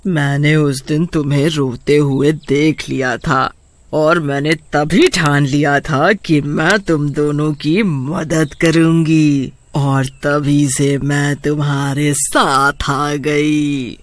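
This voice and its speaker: Man voicing a slow, drawn-out kindly witch. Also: fairly high pitch, no echo. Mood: slow, reassuring. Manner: slow, drawn-out, flat delivery. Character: kindly female witch spirit, helpful and reassuring. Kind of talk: Hindi animated horror story dub.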